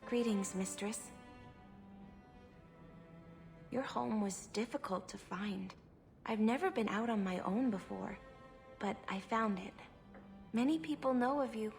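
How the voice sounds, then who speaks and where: Game role: young female elf, former slave, timid and obedient, lightly high voice. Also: vocal fry. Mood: eager, timid. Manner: meek, deferential. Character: timid young elven servant girl, meek and eager.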